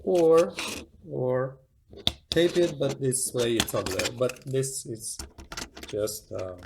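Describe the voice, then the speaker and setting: Gravelly man explaining casually, noisy recording. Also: medium pitch. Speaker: tutorial presenter. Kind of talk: casual hands-on demonstration, unscripted. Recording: clearly noisy home recording with clicks.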